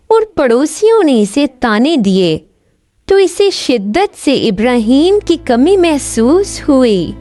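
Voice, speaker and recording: A woman narrating a scripted fairy tale as a storyteller. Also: sits high.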